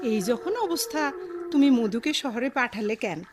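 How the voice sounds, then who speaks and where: An actress as a loyal village woman in an audio drama, fretting bluntly in a rural Bangladeshi Bengali accent. Her highish voice moves expressively, with clear noise behind it. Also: lively intonation, moderate pace, lightly acted. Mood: concerned, scolding. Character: concerned, scolding village woman, loyal household companion.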